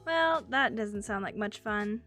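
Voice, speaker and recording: A woman's voice: mid-range voice, fairly bright tone. A woman voicing a cheerful, quirky teenage girl who chats playfully in a fan dub, with an airy, teasing manner.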